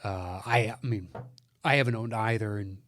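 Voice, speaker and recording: A man's voice: on the low side, moderate pace, a bit bright. Casual, foul-mouthed man podcasting. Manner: profane, jokey, conversational. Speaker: podcast co-host. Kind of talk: casual banter.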